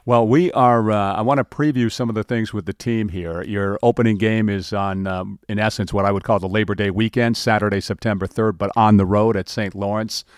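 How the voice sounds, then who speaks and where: A man with a fairly low voice hosts a sports news segment and puts questions to a guest. His phrasing is loose and hesitant, dotted with filler sounds. The sound is dry with no room echo. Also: steady tone, brisk, few pauses, clear, resonant tone. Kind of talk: interviewer asking questions.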